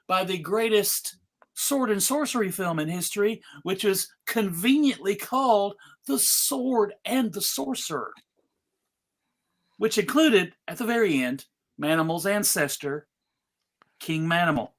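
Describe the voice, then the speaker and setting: A host speaks at a slow pace in a casual live panel style. The delivery is jokey, with mock-showman announcements addressed straight to the audience. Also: mid-range voice, expressive. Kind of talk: casual live chat introducing scripts.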